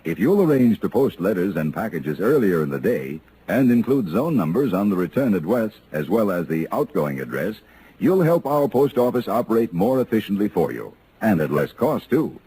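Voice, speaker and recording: A man with an American accent reading scripted 1950s radio announcements in a measured, formal way. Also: on the low side, big pitch swings, dark tone, slight background noise, poor audio.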